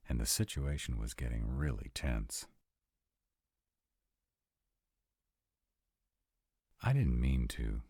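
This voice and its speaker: A man's voice: extremely low pitch, warm tone, breathy edge, no room sound, studio quality, heavily acted. A man playing a troubled young sarcastic vampire, confiding casually and with some swearing and hesitation in an intimate audio roleplay. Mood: guilty, worried.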